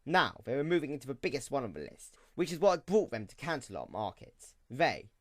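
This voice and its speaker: A man's voice: medium pitch, sing-song, fairly quick, a bit bright. A man reading scripted story narration for an audio drama.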